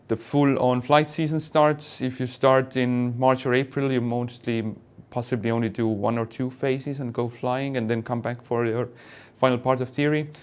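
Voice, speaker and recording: A man speaking as an airline pilot and instructor, answering questions in an online Q&A in a hesitant, measured way. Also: medium pitch, smooth.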